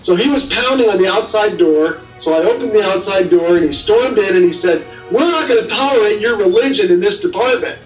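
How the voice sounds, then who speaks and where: A man's voice: high-pitched, fairly quick, hardly any pauses, soft background music. A male scientist recounting his own experience in an interview. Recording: echoey room, distant microphone.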